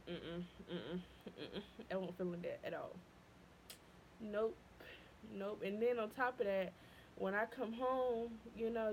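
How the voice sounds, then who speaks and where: Young woman vlogger, candid storytelling, highish voice, faint hum behind. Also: rough recording. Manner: animated, voice leaping high when quoting her past self. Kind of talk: casual storytelling.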